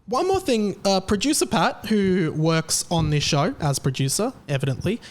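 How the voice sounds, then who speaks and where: Emphatic Australian man, slightly high voice. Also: big pitch swings, normal speed. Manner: emphatic and opinionated. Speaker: podcast host. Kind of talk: casual opinion monologue.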